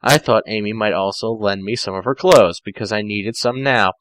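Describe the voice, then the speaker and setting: A man's voice: lowish voice, steady tone, moderate pace, a bit bright, a little hiss or hum. A man giving an amateur dramatic story reading, stumbling, correcting himself and dropping in asides. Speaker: fan fiction reader.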